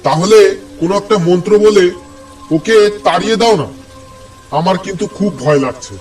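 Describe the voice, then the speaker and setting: Timid young village fisherman played by a man, high voice, anxious and pleading, obedient horror-comedy cartoon sidekick. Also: expressive, normal speed, soft background music. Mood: fearful. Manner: fearful, pleading.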